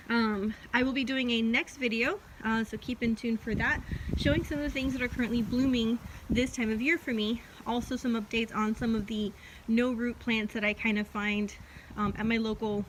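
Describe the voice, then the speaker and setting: A woman who is losing her voice, a hoarse orchid grower chatting casually outdoors with lots of ums and uhs. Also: on the high side, background noise. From Florida. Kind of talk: casual vlog walkthrough. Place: outdoors in a chilly backyard.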